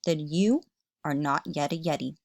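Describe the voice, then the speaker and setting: A woman voicing a big, gruff old yeti grandfather who growls in a children's storybook. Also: low-pitched, moderate pace, a little mellow, pitched below the performer's own voice. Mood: menacing, gruff.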